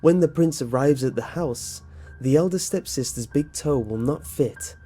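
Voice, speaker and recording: A narrator giving a steady scripted read of a countdown of dark story origins, played from a video. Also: medium pitch, moderate pace, breathy edge. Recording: narration with music bed.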